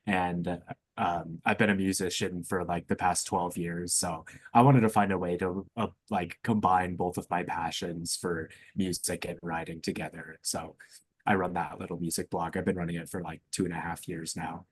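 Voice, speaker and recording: Young male, student podcaster; deep voice, casual hesitant chat, dry recording. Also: little pitch movement, moderate pace, husky, dry recording. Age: young adult.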